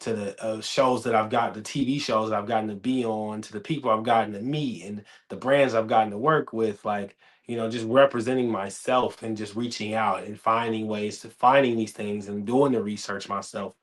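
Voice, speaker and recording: A man in his thirties hosting a casual podcast chat, telling stories with lively acted-out quotes. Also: on the low side, normal speed, few pauses. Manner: animated storytelling, acts out quoted dialogue. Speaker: podcast host.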